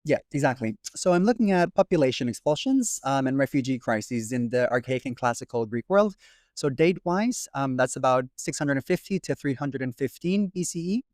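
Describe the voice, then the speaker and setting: A man's voice: mid-range voice, animated, brisk, a little mellow, dry recording, studio quality. A young man with an American accent who grew up in Italy, answering interview questions as a classics researcher, fluent with frequent ums. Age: young adult. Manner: talks fluently with frequent ums and sort ofs. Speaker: guest, doctoral researcher in classics.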